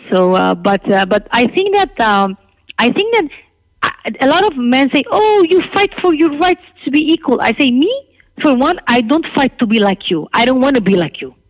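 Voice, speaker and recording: Outspoken middle-aged Armenian-speaking woman, creaky voice. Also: medium pitch, low-quality recording. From Lebanon. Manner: outspoken, emphatic. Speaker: interview guest. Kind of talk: candid interview talk about own life.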